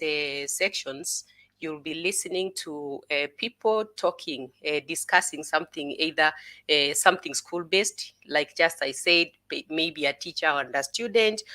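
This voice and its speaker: A woman's voice: low-pitched, sharp tone. A young woman explaining an exam as a tutor, unscripted, with many "uh" and "you know" fillers, repeated words, and checks like "okay?". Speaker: IELTS tutor.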